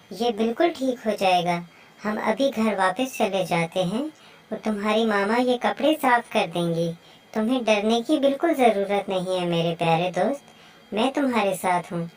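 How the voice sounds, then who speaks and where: A woman's voice: medium pitch, darkish tone, close to an everyday voice. A woman voicing an eager, playful young bird child in a children's moral story cartoon. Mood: comforting.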